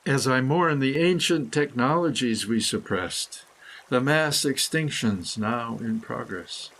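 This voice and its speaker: A man reading his own scripted meditative prose poem in a solemn, deliberate manner with dramatic phrasing. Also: mid-range voice, lively intonation, a bit bright, poor audio. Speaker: author reading own essay.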